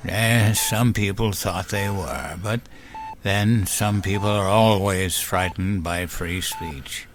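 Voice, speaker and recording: A man playing a gentle, dying old grandfather in an audio drama, halting and tender, with a creaky voice. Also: deep voice. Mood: rueful.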